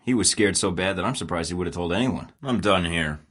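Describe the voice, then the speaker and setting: A man's voice: low-pitched, fairly quick, fairly bright tone, slightly rough. An actor playing a cocky young male secret agent in a video game, delivering dry, sarcastic, terse lines. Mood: cool. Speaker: game character.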